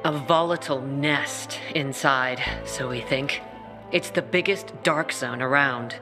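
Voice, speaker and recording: An actor voicing a gruff, impatient commander. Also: mid-range voice, unhurried, over music, lightly acted. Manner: gruff, curt. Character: tough commander of peacekeepers, impatient.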